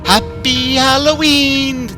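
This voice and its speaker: A man voicing a demented clown who taunts in a slow, high, sing-song way. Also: steady tone. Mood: manic. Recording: music bed behind the voice.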